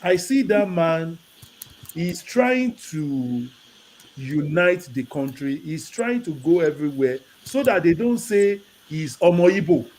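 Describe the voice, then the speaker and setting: A man from Nigeria, now living in the UK, calling in to a talk show and telling a lively story, with Yoruba phrases in his speech. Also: on the high side, slow, rough recording. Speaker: caller. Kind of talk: casual call-in chat. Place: lives in the UK.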